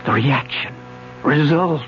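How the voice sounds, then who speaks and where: A man's voice: a little high, takes it slowly. An actor playing a retired older male physics professor, obsessive and insistent, in a radio drama lecturing style. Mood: urgent. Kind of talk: 1970s radio drama acting. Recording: muffled old radio broadcast with music.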